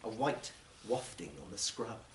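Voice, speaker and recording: A man reading a short story aloud at a live event, with measured, deliberate, dramatic phrasing. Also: fairly low pitch, expressive. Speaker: actor and audiobook reader. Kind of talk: literary story reading, scripted narration. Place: performance space in a library, live event. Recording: roomy live recording with faint noise.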